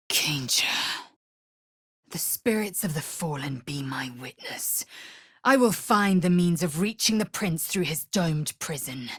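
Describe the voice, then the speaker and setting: A woman voicing a proud, fierce, imperious githyanki warrior in a video game, with a husky, raspy voice and a clipped, haughty, formal manner. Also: lowish voice, a bit bright, dry recording, clean studio sound, exaggerated voice. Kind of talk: game voice acting, short scripted lines.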